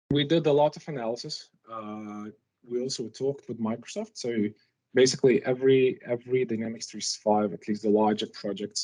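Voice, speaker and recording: Slightly husky man presenting, non-native English speaker. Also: mid-range voice, rough recording. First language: not English. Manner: informal, rhetorical questions. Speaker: technical presenter. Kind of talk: conference-style technical presentation, conversational.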